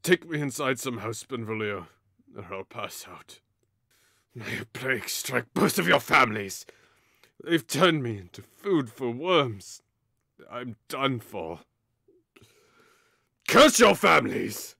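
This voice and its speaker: A man's voice: mid-range voice, sing-song, slow pace, vocal fry, pauses often, pushed and bright, dry recording, studio quality, exaggerated voice. An actor playing a mortally wounded young man, strained and bitterly cursing, in an audio drama of modernized Shakespeare. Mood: pained, bitter. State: dying, faint and strained.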